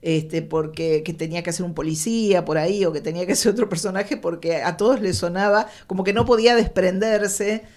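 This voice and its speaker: An Argentine woman in casual discussion, speaking with hesitant, halting phrasing. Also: fairly low pitch, a little mellow. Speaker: panelist.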